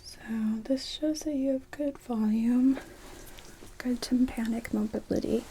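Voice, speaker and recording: Casting: woman, whispered ASMR clinician, gentle and reassuring, explains each step, clear background noise. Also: a little high, unhurried, poor audio. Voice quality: whispery, breathy, unvoiced. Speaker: ASMR performer playing an audiologist. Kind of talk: ASMR medical roleplay.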